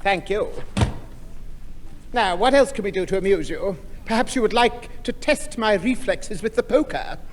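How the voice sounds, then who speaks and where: Fussy, high-voiced pompous gentleman. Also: expressive, normal speed. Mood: prim, arch. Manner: pompous, formal, stammering when confused. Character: fussy, prissy, easily flustered gentleman neighbour. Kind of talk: 1960 radio sitcom acting. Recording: noisy echoing 1960 radio recording.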